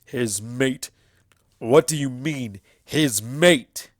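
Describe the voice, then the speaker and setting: A narrator voicing an angry, deluded male alicorn stallion in a story reading, shouting and incredulous. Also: medium pitch, very slow, no room sound, clean studio sound. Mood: confused anger.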